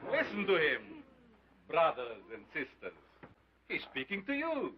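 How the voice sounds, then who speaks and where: A booming grandiose showman doctor. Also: huge pitch swings, unhurried, very noisy. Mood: solemn. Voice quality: theatrical, booming, declamatory. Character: grandiose male carnival showman scientist. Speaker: sideshow doctor presenting an ape.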